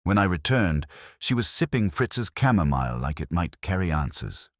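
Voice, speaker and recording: Very deep-voiced man narrating a detective story. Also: big pitch swings, normal speed, no room sound, studio quality. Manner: wry, wisecracking. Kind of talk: first-person hardboiled detective audiobook narration.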